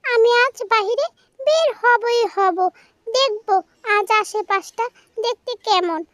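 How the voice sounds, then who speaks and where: A performer voicing a naive, stubborn little goat kid in a children's cartoon, squeaky and childlike, with a wheedling manner. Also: a bit bright, no echo, clean studio sound. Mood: defiant. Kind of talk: children's animated story dialogue.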